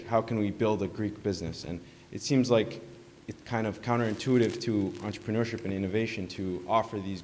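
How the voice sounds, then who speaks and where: Guy asking a panel question, low voice, halting, echoey hall. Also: darkish tone. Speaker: audience member asking a question. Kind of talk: extended question at a panel discussion. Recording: reverberant conference hall.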